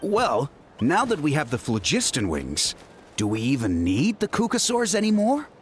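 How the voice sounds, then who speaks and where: A man's voice: fairly high pitch, wildly swooping pitch, normal speed, faint music behind. An actor voicing an awkward, hesitant tribesman in a video game. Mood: uneasy, questioning.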